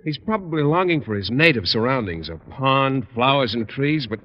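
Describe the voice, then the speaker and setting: A man's voice: medium pitch, expressive, moderate pace, lightly acted. An American man acting as an earnest, shy biology teacher in an old radio comedy, speaking hesitantly. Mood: earnest concern. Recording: muffled old radio recording, faint noise.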